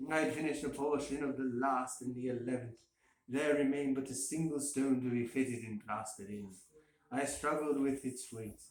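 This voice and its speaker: A man giving an amateur dramatic reading of a gothic horror story. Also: mid-range voice. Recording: roomy echo, faint hiss.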